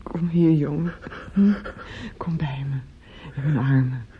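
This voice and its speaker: An actress playing a tender, devout aunt in a radio play, speaking soothingly and earnestly in halting phrases. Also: female voice, low-pitched, fairly even intonation, a crowd in the background. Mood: tender, comforting. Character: tender, devout aunt consoling a grieving young man. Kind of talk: intimate radio play acting. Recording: muffled, noisy old radio drama recording.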